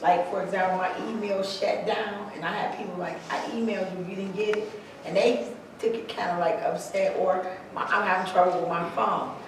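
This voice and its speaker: A woman's voice: medium pitch, steady tone, fairly quick. A woman speaking hesitantly in a group discussion, leaning on fillers. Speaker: workshop participant. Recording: echoey room, from far off, noisy.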